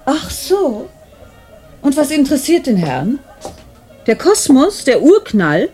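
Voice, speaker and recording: An exasperated wife in a radio play, scolding and sarcastic. Her pitch moves in lively swings, while the tone itself stays dark and mellow. Faint music plays behind her. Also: slow, full character voice. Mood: sarcastic, annoyed. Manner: cutting, reproachful. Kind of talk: German radio play acting.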